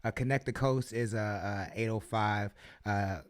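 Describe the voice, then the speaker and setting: A hyped, chatty man hosting a laid-back radio interview, piling on fillers and cheering his guest on. His voice sits low, and the recording is dry and close with no room sound. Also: steady tone, measured pace, smooth, dry recording. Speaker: radio show host. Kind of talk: casual podcast interview.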